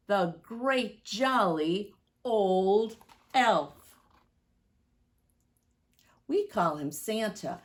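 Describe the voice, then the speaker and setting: A woman, speaking deliberately and expressively to young children as a story-time teacher. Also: medium pitch. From United States, Midwest.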